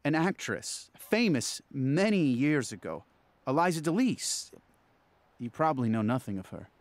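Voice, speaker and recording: A man's voice: fairly high pitch, very animated, normal speed, no room sound. A man playing an anxious, obsessed client in an audio ghost story, speaking in a hesitant, stammering, earnest way. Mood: hesitant, yearning.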